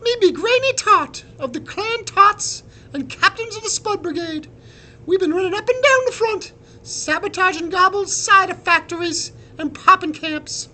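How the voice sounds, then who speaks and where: Man putting on a feisty high-pitched Irish lass. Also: expressive, moderate pace, bright, forceful tone, exaggerated voice. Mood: proud. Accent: Irish brogue. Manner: teasing, bold, folksy turns of phrase. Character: feisty young female potato resistance captain.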